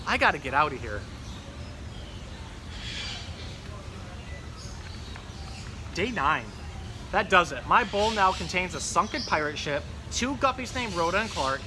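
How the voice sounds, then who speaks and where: A fussy, put-upon pet goldfish read by a man tells his diary in a storybook style. The voice sits a little high, with dry grumbling. Clear ambient noise runs behind it. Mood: exasperated, frazzled. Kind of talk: children's storybook read-aloud.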